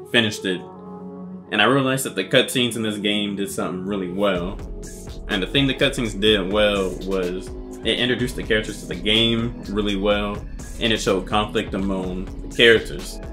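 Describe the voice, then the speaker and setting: A man's voice: fairly low pitch, moderate pace, sharp tone. A man giving casual, analytical commentary as a video essayist. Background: music.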